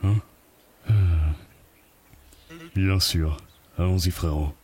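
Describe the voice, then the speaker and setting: A man's voice: low voice, fairly even intonation, very slow, a little mellow, breathy edge, heavily acted. A man voicing a lazy, unbothered skeleton brother in a cartoon dub, with a nonchalant drawl. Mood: casual. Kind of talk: animated series dub acting.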